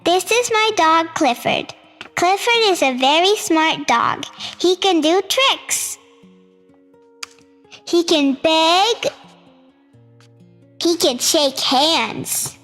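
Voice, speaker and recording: A child host reads a storybook aloud in a very high voice. The delivery is slow and measured, as read-aloud narration for young listeners. The voice rings with long reverb, and music plays along. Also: expressive, pushed and bright. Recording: reverberant hall sound with music.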